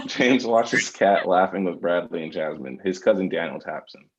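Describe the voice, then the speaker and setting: A man's voice: deep voice, expressive, moderate pace, husky. A man reading scripted stage directions as narrator, in a slightly lo-fi recording. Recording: slightly dull, lo-fi sound.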